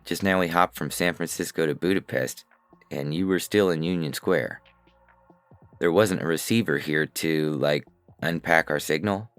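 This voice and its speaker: Extremely deep, creaky man narrating fiction. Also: moderate pace, no echo, faint music behind. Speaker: narrator and reader. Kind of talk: scripted first-person science fiction narration.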